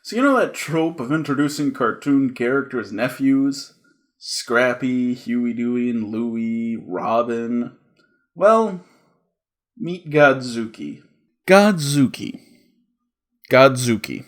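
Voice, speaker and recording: A man's voice: medium pitch, slow pace. A man narrating a scripted comedic cartoon review as the host, with a sarcastic, profane delivery.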